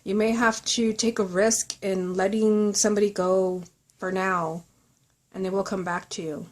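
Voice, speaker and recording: Woman tarot reader, mid pitch voice, talking straight to you, earnest and thoughtful, informal card reading. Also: steady tone, measured pace.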